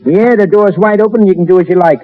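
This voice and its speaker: A thin, slightly noisy old radio broadcast with a man playing a gruff sergeant. He is blunt and slangy, in a fairly high voice. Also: fast, phone-quality sound, lightly acted. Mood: gruff, bossy. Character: gruff, no-nonsense army sergeant, grudgingly amused. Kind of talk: 1930s radio drama acting.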